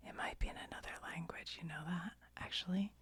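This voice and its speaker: An American woman whispering in a casual ASMR show-and-tell style, musing aloud to the viewer as she reads things out in a breathy, gravelly voice. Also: fairly low pitch, steady tone, quick pace, a bit bright.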